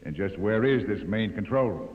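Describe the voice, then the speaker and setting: An actor playing an arrogant, suspicious lieutenant who barks orders, in 1950s radio drama style on an old muffled recording. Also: male voice, on the low side, lively intonation, moderate pace, in a large hall, lightly acted. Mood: sneering, suspicious. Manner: barking, peremptory, sneering. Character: arrogant, hard-headed military lieutenant, suspicious and commanding.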